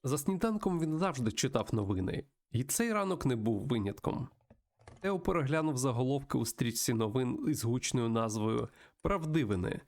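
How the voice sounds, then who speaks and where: A man reading a visual novel aloud as a let's play host, in an expressive storytelling manner. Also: medium pitch. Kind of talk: narrated visual novel playthrough reading with asides.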